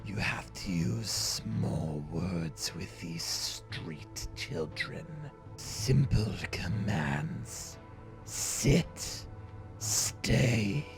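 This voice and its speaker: A man playing a smug, menacing army captain in an audio drama, with a gravelly whispery voice and a slow, condescending, commanding manner. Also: low voice, lively intonation, music in the background, full character voice. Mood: mocking.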